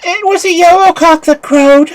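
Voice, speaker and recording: A woman's voice: very high voice, moderate pace, pitched above the performer's own voice. A woman voicing a meek, frightened young girl from a fairy tale, trembling and pleading. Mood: nervous.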